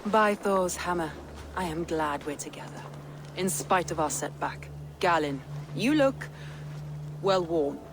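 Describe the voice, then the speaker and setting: A woman's voice: lowish voice, expressive, unhurried, noisy background. A woman playing a proud, resolute Viking war leader in a video game, speaking in an earnest, stately, commanding way. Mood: warm, solemn. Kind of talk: dramatic video game acting.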